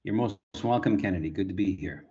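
A man from Canada, a priest guest, answering in a measured way with frequent restarts. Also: sits low, warm tone. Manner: measured, restarts words often. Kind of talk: remote interview answers. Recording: dull lo-fi remote audio.

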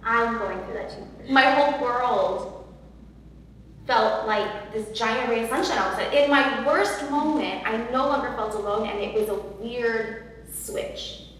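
Loud, earnest woman in echoey hall. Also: fairly high pitch, measured pace. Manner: earnest, emotional, many fillers and repeats. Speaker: podcast guest. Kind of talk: personal storytelling in an interview. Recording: echoey hall from far off, faint noise.

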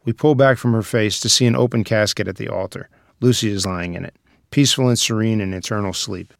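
A man's voice: on the low side, brisk, gravelly, no echo, clean studio sound. A man narrating a screenplay's scene headings and action in scripted table-read style. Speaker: stage direction reader.

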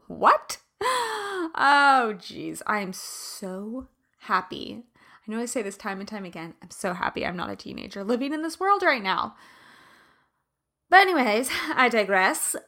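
A woman hosting a casual personal chat, her voice raspy from allergies, chatty and laughing often. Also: on the high side, sharp tone.

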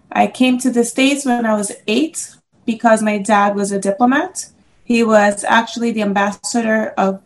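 A middle-aged woman from the Congo, an interview guest and business owner, telling stories in a lively way and laughing often. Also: mid-range voice, slight background noise. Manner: animated storyteller, laughs often. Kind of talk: casual interview conversation.

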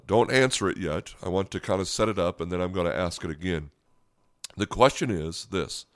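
Slightly hoarse, low-voiced American male pastor. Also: fairly bright tone, dry recording, clean studio sound. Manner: earnest, direct questions to listeners. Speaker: pastor and podcast host. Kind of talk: conversational sermon podcast.

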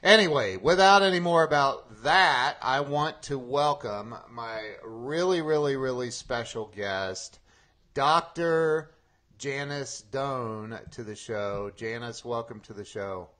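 A man hosting a podcast in a casual, conversational interview style, speaking informally and haltingly with repeated phrases. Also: mid-range voice, fairly bright tone. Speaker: podcast host interviewing a guest.

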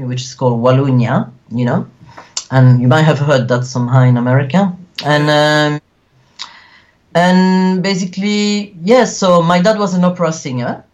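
A man's voice: a little high, dull audio. Belgian French-accented man, earnest and rambling. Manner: earnest, rambling, many fillers. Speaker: guest actor. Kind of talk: casual interview.

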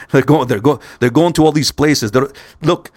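A man's voice: medium pitch, normal speed, dry recording. Rambling man telling a story, dry close sound. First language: Spanish. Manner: rambling, repetitive, stop-start with frequent swearing. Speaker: vlogger. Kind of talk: casual storytelling to viewers.

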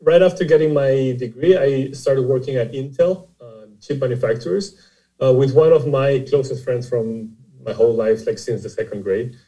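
Very dark-voiced Costa Rican man. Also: medium pitch, poor audio. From Costa Rica. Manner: halting, repeats words while explaining. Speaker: guest, startup CEO. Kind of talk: conversational interview.

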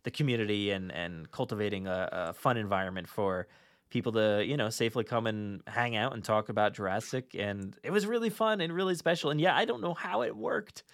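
A man's voice: fairly low pitch, animated, a little fast, no echo. Dry-sounding man hosting a casual podcast. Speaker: podcast host.

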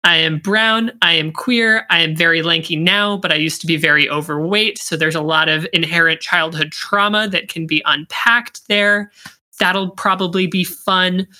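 A man's voice: fairly high pitch, fairly even intonation, normal speed, pushed and bright. A young man, born in Australia and raised in Pittsburgh, introducing himself on a podcast in a playful, self-deprecating way. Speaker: guest host. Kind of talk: casual podcast chat.

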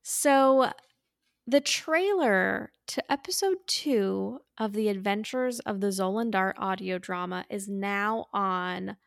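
A woman's voice: mid-range voice, slow, vocal fry, no echo. Chatty, enthusiastic woman in a dry recording. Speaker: podcast co-host. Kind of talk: casual chat.

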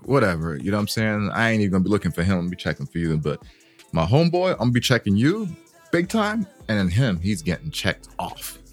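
A man's voice: lowish voice, sing-song, fast, faint music behind. A man co-hosting a podcast, bantering casually and teasing with laid-back slang. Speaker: podcast co-host.

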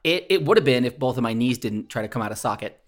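Lively male podcast host. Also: medium pitch. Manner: animated, quick to jump in. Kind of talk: casual chat among friends.

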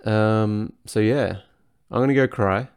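A low-pitched man with a hoarse, worn voice talks on his own podcast. He speaks frankly and swears freely in casual chat. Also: slow. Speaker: personal trainer and podcast host. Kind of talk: casual solo podcast talk.

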